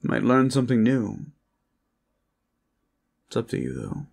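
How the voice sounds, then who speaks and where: A man performing an intimate audio roleplay as a protector, speaking in a reassuring, courteous, measured way. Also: mid-range voice, sing-song, creaky, darkish tone. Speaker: roleplay voice actor, playing a gentle protector.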